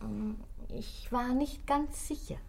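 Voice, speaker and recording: Eine Schauspielerin spielt in einem deutschen Hörspiel eine geschwätzige, ausweichende Concierge, die zögernd und vertraulich redet. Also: female voice, a little high, slow, vocal fry, darkish tone, exaggerated voice. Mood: evasive, confiding. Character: gossipy, evasive, money-minded Parisian concierge woman. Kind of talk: German radio play acting.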